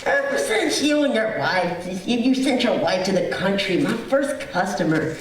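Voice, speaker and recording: A woman's voice: mid-range voice, sing-song, normal speed, lightly acted. A woman playing a folksy, rambling, good-humoured shopkeeper in an old-time radio play. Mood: chatty. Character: chatty, folksy butcher shopkeeper, a married woman. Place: theatre stage. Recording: echoey theatre, distant mic, faint noise.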